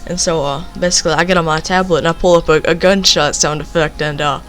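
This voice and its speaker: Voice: medium pitch, fairly even intonation, quick pace, a bit bright, faint music behind. A young person telling a casual, rambling story, leaning on filler phrases. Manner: rambling, repeats filler phrases like "basically" and "you know".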